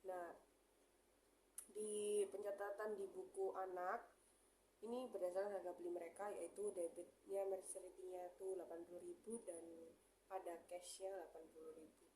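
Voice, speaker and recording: A young woman gives a student presentation in a mellow, relaxed tone. She reads in a halting way and stumbles over English terms. The room echoes around her, with faint noise behind. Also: lowish voice. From Indonesia. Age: young adult. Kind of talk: class presentation, reading accounting slides aloud. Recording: roomy echo, faint background noise.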